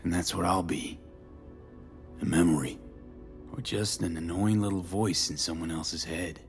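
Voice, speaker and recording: An actor playing a brooding, world-weary male street mercenary in a video game, with a gravelly, low-key, terse delivery. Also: fairly even intonation, unhurried, soft background music. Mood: weary, reflective. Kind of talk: naturalistic video game acting.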